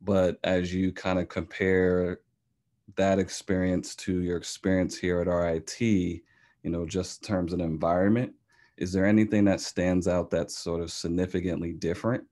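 A man with a very low voice and a flat, even delivery moderates a discussion. He puts his questions carefully, pausing and restarting as he words them. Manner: halting, careful phrasing.

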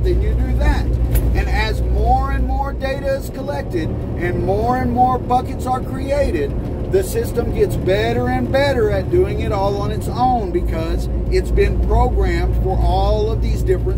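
A middle-aged man with a Texas accent, ranting bluntly and profanely to camera from his truck cab while explaining with his hands. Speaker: truck driver vlogger. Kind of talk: ranting vlog monologue. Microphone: phone camera at arm's length. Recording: loud road noise in truck cab. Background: engine and road rumble.

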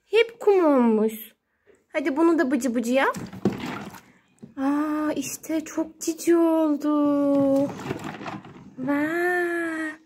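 A woman talking to her young child as a mother at play, narrating in playful, sing-song baby talk with repeated words. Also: high voice. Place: indoors at home beside water play tubs. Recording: noisy home phone recording with clicks.

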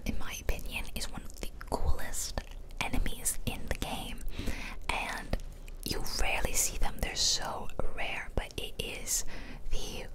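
A woman whispering casual, chatty ASMR commentary over a video game, close to the microphone. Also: poor audio. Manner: casual, chatty asides to viewers. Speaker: gaming video host. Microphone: close-up microphone, ear-to-ear whispering.

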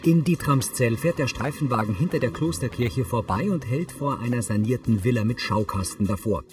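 A male narrator delivers scripted audio description. His pitch is medium, and soft music sits faintly under the voice. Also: expressive, moderate pace.

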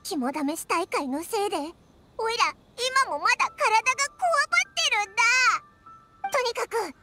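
A woman voicing a small, excitable, childlike fairy companion in a Japanese anime game, squeaky and brash, with boyish phrasing. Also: moderate pace, heavily acted. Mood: nervous. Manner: exclamatory, brash boyish phrasing, stammers when flustered. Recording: game music playing behind.

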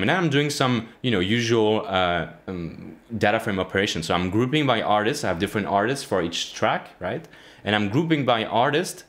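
Man, 30s, low voice; relaxed coding-tutorial host, halting and full of fillers, recorded close in a small room. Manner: halting, many fillers and restarts. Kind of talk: casual screen-share coding walkthrough. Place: small indoor room, plain wall.

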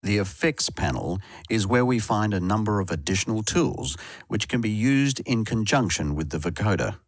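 A man's voice: deep voice, no echo, studio quality. A man reading scripted instructional narration for a tutorial as a voice-over. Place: close, dry voice-over recording.

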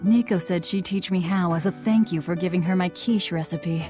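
A woman as a low-voiced, guarded young swordswoman. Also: normal speed, darkish tone, faint music behind, lightly acted. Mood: subdued, wistful. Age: young adult. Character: bitter, guarded young swordswoman, brusque and hardened. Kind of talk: video game acting.

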